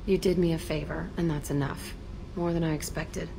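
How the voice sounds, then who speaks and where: A tough, wounded young female ex-convict for a video game. Her American voice sits low and she speaks bluntly, keeping her guard up. A clear bed of ambient noise runs behind her. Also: steady tone, moderate pace. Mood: guarded, defensive. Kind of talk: video game cutscene acting.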